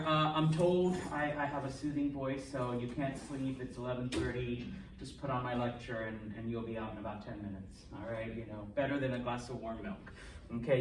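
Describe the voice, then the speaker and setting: Man teaching in an echoey classroom. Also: medium pitch. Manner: casual and joking, keeps checking in with the class. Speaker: language instructor. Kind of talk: classroom lecture. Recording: echoey classroom from a distance, faint noise.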